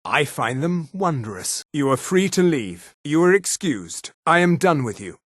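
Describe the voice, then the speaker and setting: An actor voicing a haughty, sneering high elf official in a fantasy video game, speaking in a pompous, condescending, precise manner. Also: male voice, very animated, a little slow, dry recording, studio quality. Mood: sly, aloof. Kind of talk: scripted fantasy game dialogue.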